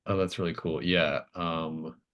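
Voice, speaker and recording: This is a man with a very low, deep voice, acting as game master for a tabletop horror game. He speaks slowly and haltingly, thinking his way through eerie descriptions. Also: flat delivery, lo-fi sound.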